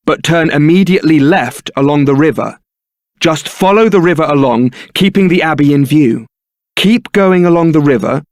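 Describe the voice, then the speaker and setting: An actor playing a young templar knight in a video game, suave, wry and brooding, with a theatrical, articulate delivery. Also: male voice, fairly high pitch, a little mellow, no room sound, studio quality, close to an everyday voice. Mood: earnest, hushed urgency. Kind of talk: video game voice acting, dramatic scripted dialogue.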